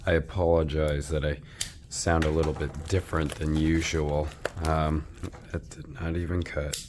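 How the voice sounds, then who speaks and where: A man's voice: extremely low pitch, level tone, a little slow, background noise. A man who is losing his voice, chatting casually while opening trading card packs, rambling with many ums and restarts.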